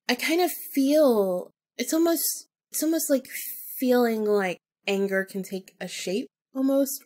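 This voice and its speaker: A woman podcast host telling a personal story casually, informally acting out remembered bits of dialogue. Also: medium pitch.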